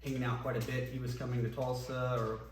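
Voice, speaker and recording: A man, a former band singer, reminiscing casually in an interview, relaxed and rambling with many ums and restarts. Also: mid-range voice, fast. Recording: echoey room, voice from a distance, faint noise.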